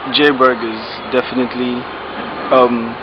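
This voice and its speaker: A young man with a Nigerian accent talking in a relaxed, rambling, slangy way, interviewed outdoors in a residential compound on a close-held camera. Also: medium pitch, measured pace. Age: young adult. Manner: laid-back, rambling, slangy. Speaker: rapper guest. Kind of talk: casual interview. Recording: dull audio with outdoor noise.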